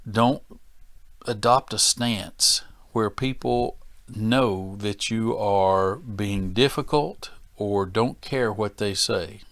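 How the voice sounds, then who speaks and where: A man teaching as a safety training instructor in a plain-spoken, deliberate, folksy manner. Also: lowish voice, a bit bright, no echo, clean studio sound. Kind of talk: instructional presentation to workers.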